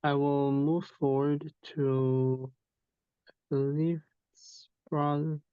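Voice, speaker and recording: A man hosting an online town hall on a video call, measured and speaking with frequent religious phrases over dull lo-fi call audio. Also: on the high side, flat delivery, clean tone. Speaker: host and founder.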